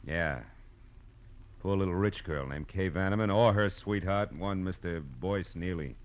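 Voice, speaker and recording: An actor playing a cool, sardonic private eye in 1940s radio drama style, with clipped, wry, tough talk. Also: male voice, low-pitched, unhurried, lo-fi sound. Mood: terse. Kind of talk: 1940s radio detective acting, first-person narration.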